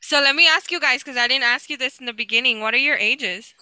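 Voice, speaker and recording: A woman in her thirties hosting a casual family chat over a video call, hesitant with fillers and quick to laugh. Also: high voice, a loud crowd, low-quality recording. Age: 30s. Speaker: host asking family questions.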